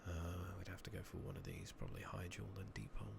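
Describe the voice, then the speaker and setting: A man giving soft-spoken, partly whispered ASMR commentary on a game, muttering and thinking out loud, recorded close on a makeshift mic. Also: deep voice, very animated, fast talker, gravelly. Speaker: game streamer narrating a playthrough. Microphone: close makeshift microphone. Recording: noisy makeshift mic with clicking.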